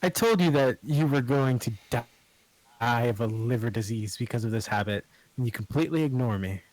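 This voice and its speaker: Guy reading as a churchy rugged hunter, calm, kinda preachy. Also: mid-range voice, moderate pace, close to an everyday voice, poor audio. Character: rugged pious male hunter in his thirties.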